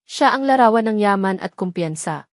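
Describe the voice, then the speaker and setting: Woman, dramatic story narration, medium pitch, scripted, dry studio-like sound. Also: lively intonation, moderate pace, no echo.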